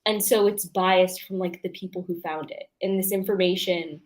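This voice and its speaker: A woman guest on a live book show, discussing casually in a thoughtful, halting way and often correcting herself. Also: fairly low pitch, steady tone.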